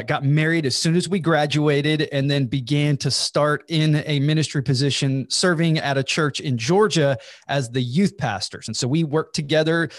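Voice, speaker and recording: A man's voice: fairly high pitch, dry recording, studio quality. A man in his late thirties, a pastor, talking in an easygoing, friendly way as a podcast guest. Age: 30s. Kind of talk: casual interview chat.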